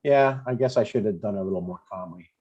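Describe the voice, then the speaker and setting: A man's voice: lowish voice, fast talker, close to an everyday voice. A man playing an older widowed father and retired deacon, earnest, blunt and a little hesitant, in a scripted comedy table read. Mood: mild, reflective. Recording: dull, lo-fi sound.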